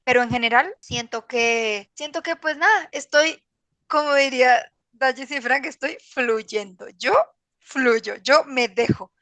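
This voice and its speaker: A woman's voice: high-pitched, a little slow, a bit bright, no echo. A woman with a Colombian accent talking casually as a podcast guest, candid, slangy and rambling. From Bogotá, Colombia. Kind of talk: casual personal conversation.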